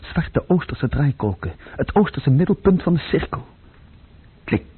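An actor playing a tense, obsessive man in a radio drama, speaking in incantatory, urgent fragments with a husky voice. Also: a little high, sing-song, unhurried, full character voice. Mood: incantatory, entranced. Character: tense, obsessive man drawn to Russian roulette. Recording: muffled, tinny old radio-play recording.

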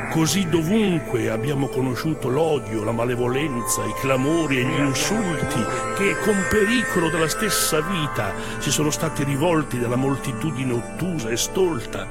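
An actor playing a defiant Renaissance philosopher in a stage monologue, solemn and declamatory. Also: male voice, medium pitch, natural voice. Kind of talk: stage monologue, formal archaic prose.

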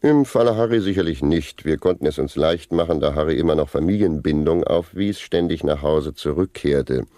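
Very deep-voiced pedantic police official. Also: male voice, normal speed, hardly any pauses, warm tone, no echo, clean studio sound. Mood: officious, didactic. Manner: formal, bureaucratic, lecturing. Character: pedantic senior narcotics police officer in dystopian satire. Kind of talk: satirical radio drama interview.

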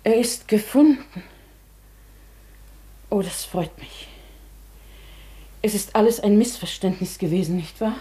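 A woman playing a dignified, wistful hotel guest in a German radio drama, with a soft, often breathy voice and a formal, measured manner. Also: medium pitch, natural voice. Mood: restrained, polite. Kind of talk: German radio play acting.

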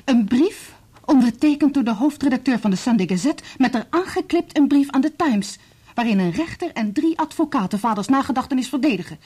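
An earnest, determined daughter in a radio drama. Her voice is fairly high yet dark and mellow, with a husky grain. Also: animated, brisk. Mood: urgent, revealing. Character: earnest, determined daughter bringing painful evidence. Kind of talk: Dutch radio play acting.

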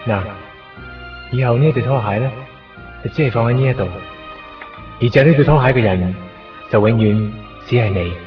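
A man's voice: medium pitch, normal speed, pauses often, far from the mic, close to an everyday voice. A man playing a tender, teasing young boyfriend in a radio drama, with casual, coaxing talk. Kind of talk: Cantonese radio drama acting. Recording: muffled 1990s radio recording, music behind.

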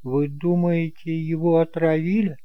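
A man's voice: a little high, flat delivery, measured pace, clear, resonant tone, no echo, muffled recording, soft background music, pitched above the performer's own voice, close to an everyday voice. A man playing an earnest young bridegroom in an audiobook drama, uneasy, subdued and hesitant.

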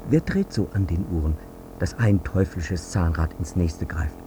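An actor playing a guilt-ridden, bitterly self-justifying air traffic controller in a radio drama monologue, brooding and intense in clipped sentences. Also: male voice, low voice, a little slow. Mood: agitated, accusing.

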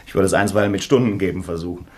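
An actor in a radio drama playing a practical young friend, blunt and reproachful out of exasperated concern. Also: male voice, deep voice, very fast, natural voice. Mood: teasing, reproachful. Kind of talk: German radio drama acting.

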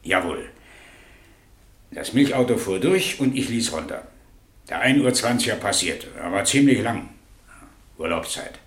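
A man's voice: on the low side, expressive, a little slow, long pauses. A man acting a plain-spoken railway crossing keeper in his fifties, giving clipped, colloquial testimony in a radio drama. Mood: plain, eager. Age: 50s. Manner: clipped, colloquial, matter-of-fact storytelling. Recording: roomy, echoing radio drama studio.

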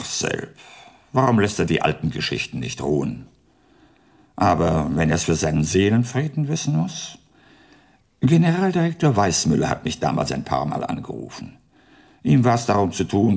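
An actor playing a crotchety elderly retired judge in a German radio play, sardonic and halting, with old-fashioned turns of phrase. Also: male voice, low-pitched, a little mellow, full character voice. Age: older. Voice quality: gravelly, husky. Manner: halting, addresses listener archaically in third person.

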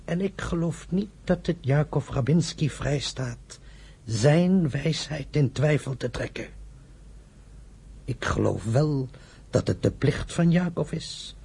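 Trage, hese, strenge vrome vader. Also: male voice, fairly high pitch, animated, mellow, exaggerated voice. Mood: stern admonishing. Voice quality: husky, gravelly. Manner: solemn, measured, admonishing. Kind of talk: radio drama acting.

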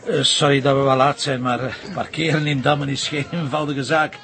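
A man's voice: medium pitch, steady tone, brisk, fairly bright tone, faint music behind, natural voice. A man playing a dry, probing police commissioner in a TV crime drama, teasing and informal, with a Flemish accent. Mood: brisk, jovial.

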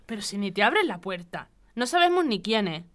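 An actress with a Spanish accent playing a fearful, defensive wife and neighbour in an audio drama. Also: female voice, mid-range voice, big pitch swings, moderate pace, lightly acted. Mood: anxious, defensive. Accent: Spanish from Spain.

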